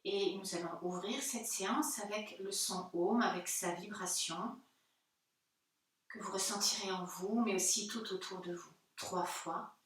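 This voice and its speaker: A woman yoga teacher leads a guided meditation at an unhurried, slow pace. Her delivery is gentle and soothing, with pauses between phrases. She is heard a little far from the microphone in a strongly reverberant room. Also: medium pitch, steady tone. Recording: very echoey room, distant mic.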